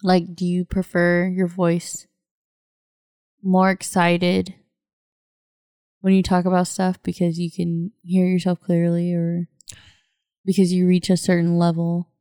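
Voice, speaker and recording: A woman's voice: lowish voice, completely flat delivery, slow, long pauses, warm tone, clear, resonant tone, no room sound, clean studio sound. A woman chatting casually as a podcast co-host, curious and asking lots of questions. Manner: curious, asks many questions. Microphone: headphones and mic.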